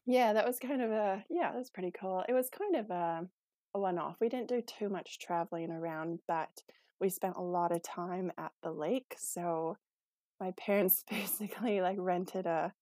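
Guest: young Canadian woman, relaxed and chatty, laughs easily, dry close mic. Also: on the low side, normal speed, no echo. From Manitoba, Canada. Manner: chatty, laughs often, many ums. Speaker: podcast guest. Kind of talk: casual interview chat.

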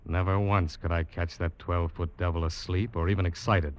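An actor playing a determined but uneasy American snake hunter, narrating his expedition with dramatic, deliberate delivery in an old radio drama. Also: deep voice, steady tone, lightly acted. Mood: brooding narration. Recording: muffled old radio recording with music.

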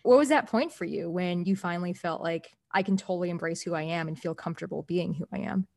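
Woman, lower voice, interviewer, empathetic and probing. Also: fast talker, darkish tone. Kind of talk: conversational interview questions.

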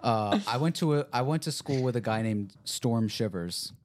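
A man's voice: medium pitch, lively intonation, normal speed, no echo. A man co-hosting a casual podcast, telling stories and laughing often. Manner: laughs often, tells anecdotes. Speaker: podcast co-host.

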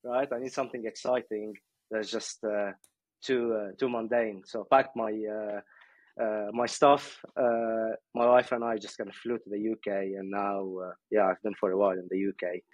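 A man in his forties from Lebanon, answering interview questions in a relaxed, reflective way with many pauses. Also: lowish voice, no echo, dull audio. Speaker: guest, customer success executive.

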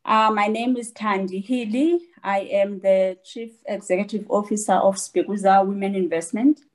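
A woman introducing herself on a panel, hesitant with many pauses and fillers. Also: medium pitch, fairly even intonation, a little mellow. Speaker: panelist, company executive.

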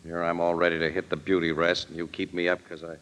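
An actor playing an easygoing, slangy American crime photographer with a wry, breezy manner in an old radio drama. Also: male voice, low-pitched, monotone, quick pace, fairly bright tone.

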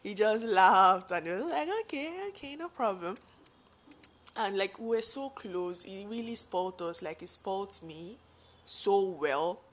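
A young Nigerian woman with a deep voice, chatting to her viewers about her late father, full of asides and fillers. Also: animated, a little slow. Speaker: vlogger. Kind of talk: personal storytelling to viewers.

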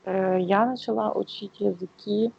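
A woman from Ukraine co-hosting a casual podcast, speaking in a halting, searching way. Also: medium pitch, fairly even intonation, creaky, breathy edge, highs cut off. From Kyiv, Ukraine. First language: Russian. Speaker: podcast co-host.

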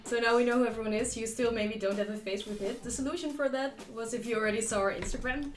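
A woman's voice: a little high, steady tone, fast, echoey room, faint music behind. A young woman from the Netherlands hosting a casual student radio-club podcast in an upbeat, friendly way. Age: young adult. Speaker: podcast host.

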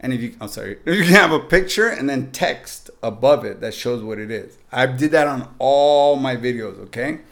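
A man's voice: mid-range voice, lively intonation, normal speed, hardly any pauses, a bit bright. A man with a Mexican accent, a podcast guest giving upbeat, joking tips straight to the listeners. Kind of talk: casual podcast chat.

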